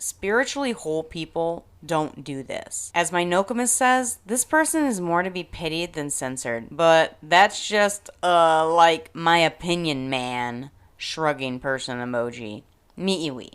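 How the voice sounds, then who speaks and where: A woman's voice: fairly low pitch, a bit bright. A woman hosting a podcast in a casual, scripted monologue, speaking frankly and wryly with dramatic emphasis. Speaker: podcast host.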